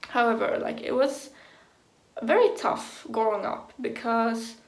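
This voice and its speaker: A young Swedish woman talking frankly to the camera in accented English, her fairly high voice full of vocal fry. She speaks slowly and loosely, swearing often and leaning on "like" as a filler, with nervous little laughs. The room around her rings with a noticeable echo. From Sweden. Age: young adult. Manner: casual, swears freely, heavy use of "like", nervous laughs. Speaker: vlogger. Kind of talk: personal confessional talk to camera.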